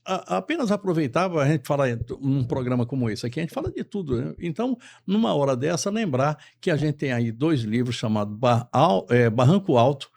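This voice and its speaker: A man's voice: a little high, expressive, brisk, creaky, hardly any pauses, a little mellow. A man from Bahia hosting a talk show, chatting in a jokey, rambling way. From Bahia, Brazil. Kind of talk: casual talk show chat.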